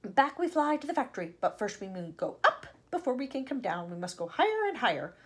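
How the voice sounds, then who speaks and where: A woman voicing an eccentric, excitable male inventor in a storybook, theatrical and exclamatory. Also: a little high, brisk, voice pushed up. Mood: excited, urgent. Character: eccentric excitable man, grand fantastical inventor.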